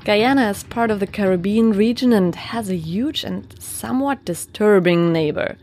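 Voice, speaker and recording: A woman reporter narrating a documentary report in a clear, steady, explanatory way. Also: medium pitch, moderate pace, a little hiss or hum.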